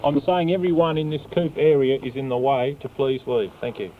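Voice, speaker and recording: A man's voice: mid-range voice, steady tone, fairly quick, slight background noise. Tinny field recording, curt man. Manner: curt, firm. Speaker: official clearing a protest area. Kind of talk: giving orders in the field. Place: outdoors.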